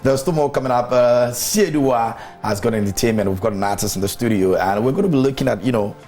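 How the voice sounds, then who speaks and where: A man's voice: mid-range voice, quick pace, a little mellow, soft background music. A man hosting a morning TV show, chatty and teasing, chatting casually with a guest in a studio. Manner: chatty, teasing, laughs often.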